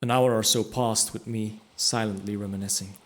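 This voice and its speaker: A man's voice: lowish voice, steady tone, moderate pace. A man narrating a first-person fantasy audio drama in a reflective storytelling manner. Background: music.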